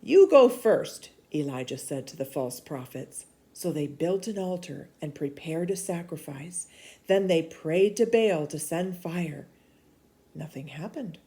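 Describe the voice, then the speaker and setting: A woman reading a children's storybook aloud in an expressive, animated way, dropping to hushed asides. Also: sits low, a little slow. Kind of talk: read-aloud of a children's book.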